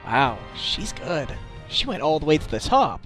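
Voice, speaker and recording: A man's voice: on the high side, very animated, a little slow, a bit bright, soft background music. A man voicing an earnest, determined defense lawyer in a game fandub, in a conversational manner. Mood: curious, impressed. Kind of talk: scripted game fandub acting.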